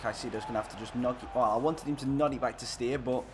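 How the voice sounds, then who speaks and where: A hoarse man, streaming live and giving excitable, chatty play-by-play to his viewers. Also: mid-range voice, fast, slight background noise. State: hoarse, scratchy throat. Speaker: game streamer. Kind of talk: live play-by-play commentary while gaming.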